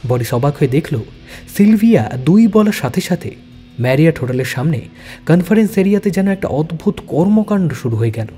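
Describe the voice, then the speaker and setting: A man giving a dramatic scripted narration of a suspense story, with deliberate phrasing. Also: fairly high pitch, animated, warm tone. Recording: long reverb, background music.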